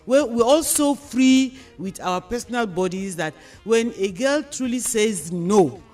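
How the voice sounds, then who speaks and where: A woman's voice: fairly high pitch, expressive, a little slow, darkish tone, faint music behind. Nigerian woman hosting a radio discussion. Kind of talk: moderating and addressing listeners in a radio panel discussion.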